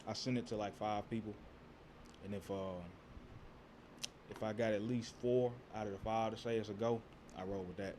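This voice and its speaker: A man with a low, bassy voice talks in an easygoing, slangy way, chatting casually as an interview guest. He is a rapper from Texas. A faint hiss sits under the recording. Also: flat delivery, normal speed, pauses often, mellow. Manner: laid-back, slangy, keeps saying "you know what I'm saying".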